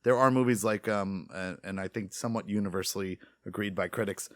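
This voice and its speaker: A man's voice: lowish voice, fairly quick, no room sound, clean studio sound. A man hosting a casual podcast discussion, talking in a rambling, opinionated way. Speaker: podcast host leading the discussion. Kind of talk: casual chat.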